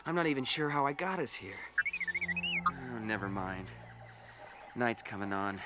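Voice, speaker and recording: A man's voice: mid-range voice, lively intonation, a little slow, very noisy, natural voice. An actor playing an earnest, impatient young male space adventurer in a radio drama. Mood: weary, uneasy.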